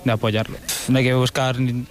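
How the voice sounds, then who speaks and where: A man's voice: medium pitch, little pitch movement, moderate pace. A man giving a casual opinion as an interviewee. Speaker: street interviewee.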